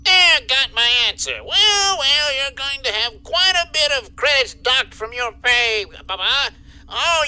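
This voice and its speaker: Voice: mid-range voice, sharp tone, clean tone, full character voice, poor audio. A performer voicing a petty, gloating, theatrical boss who scolds in a comic sci-fi audio serial. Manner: scolding, mock-sorrowful, theatrical. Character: petty gloating greedy general manager boss.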